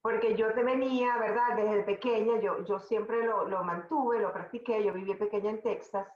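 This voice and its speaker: A middle-aged Venezuelan woman, a podcast guest, telling her career story in a conversational, animated way with many restarts. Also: medium pitch, normal speed, echoey room, highs cut off. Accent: Venezuelan Spanish. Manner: animated, talks in restarts and asides.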